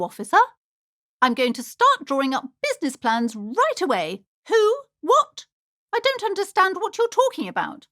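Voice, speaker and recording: A superstitious woman who runs a bookshop in a video game. Her high voice swoops dramatically up and down as she frets and preaches. The delivery is theatrical and anxious, in a very dry, close recording. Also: fairly bright tone, no room sound, exaggerated voice. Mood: gushing, inspired. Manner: theatrical, fretful, swinging between gushing and alarm.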